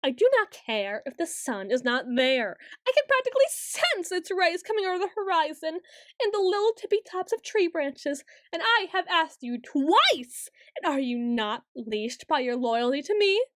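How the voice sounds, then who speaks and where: A woman's voice: extremely high pitch, big pitch swings, moderate pace, fairly bright tone, no echo, studio quality, full character voice. A woman playing a haughty, petulant ancient female vampire in a scripted audio roleplay, speaking in a theatrical, imperious and archly formal way. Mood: petulant, demanding. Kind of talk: scripted ASMR roleplay monologue.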